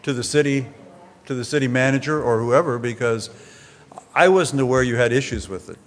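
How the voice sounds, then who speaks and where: A man answering questions at a public meeting, polite and halting, with many restarts. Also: mid-range voice. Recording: reverberant meeting hall.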